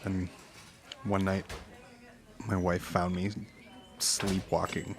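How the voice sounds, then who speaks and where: An actor playing a haunted witness in an audio drama, recounting eerie events in a halting, uneasy way. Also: male voice, sits low, monotone. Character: haunted married truck driver recounting eerie encounters. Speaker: podcast guest, witness. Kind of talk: naturalistic audio drama storytelling.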